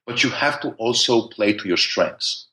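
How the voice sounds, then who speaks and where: A man's voice: lowish voice, animated, normal speed, bright, forceful tone, low-quality recording. A man with a thick Polish accent, talking at length as a podcast guest, with many restarts and fillers. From Poland. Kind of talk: conversational interview.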